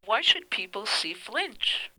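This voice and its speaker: A woman's voice: medium pitch, sing-song, slow, pushed and bright, dry recording, rough recording. A woman hosting a radio interview, asking short questions and murmuring along. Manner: brief prompts and encouraging murmurs. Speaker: radio host.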